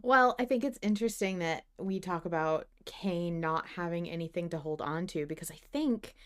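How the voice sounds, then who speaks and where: A woman's voice: fairly low pitch, moderate pace, clean tone. A woman hosting a podcast, talking casually about a story with her co-host. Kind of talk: casual discussion of a fiction podcast.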